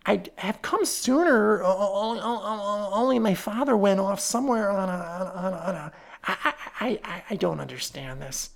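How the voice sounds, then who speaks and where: A woman's voice: mid-range voice, creaky, voice pushed up. A woman voicing a nervous, gangly young man who stammers and halts constantly. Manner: heavy stammering, halting.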